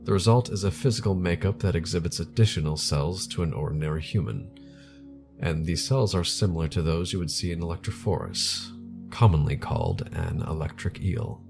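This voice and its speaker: Very deep, creaky-voiced grave male scientist. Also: big pitch swings, over music, exaggerated voice. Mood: grave, measured. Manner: measured, deliberate, formal. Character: grave, measured male scientist heading a bioengineering department. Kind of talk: audio drama acting, interview.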